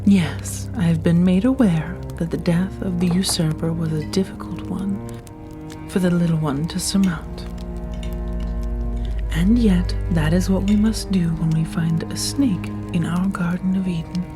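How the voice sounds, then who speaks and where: Woman playing a slow, imperious ancient vampire elder. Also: fairly low pitch, fairly even intonation, music in the background, natural voice. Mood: stern, condescending. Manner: formal, measured, commanding. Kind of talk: ASMR roleplay acting.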